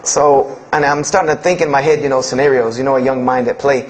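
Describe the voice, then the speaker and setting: A man telling a story with animated delivery and loud quoted asides. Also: mid-range voice, quick pace, a little hiss or hum, low-quality recording.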